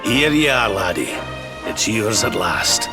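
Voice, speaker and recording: An actor playing a kindly older adventurer, a wise mentor in a video game. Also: male voice, on the low side, big pitch swings, takes it slowly, loud music behind the voice, heavily acted. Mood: solemn. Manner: coaxing, avuncular.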